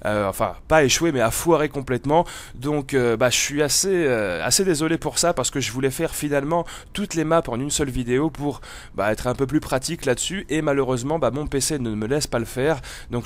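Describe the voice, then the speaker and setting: A man with a cold giving casual, hesitant, meandering gameplay commentary as the host of a gaming video. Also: mid-range voice. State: has a cold.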